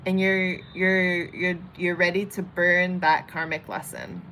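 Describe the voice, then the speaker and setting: A woman's voice: lowish voice, little pitch movement, measured pace, bright, forceful tone. A young woman from San Diego, chatting casually with livestream viewers while she reads tarot and does her makeup. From San Diego, California. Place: home in Vietnam. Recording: faint background noise.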